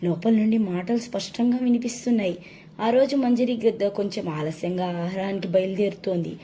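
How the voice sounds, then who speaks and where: A woman's voice: medium pitch, brisk, mellow. A woman narrating a children's story as a storyteller. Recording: faint background noise.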